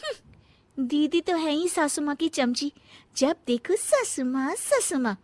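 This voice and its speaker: A woman's voice: sits high, big pitch swings, takes it slowly, dry recording, heavily acted. A woman voicing a lazy, scheming young daughter-in-law in a cartoon family drama, whiny, dramatic and defiant. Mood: shocked, resentful. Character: lazy, scheming, jealous young daughter-in-law who whines and fakes illness.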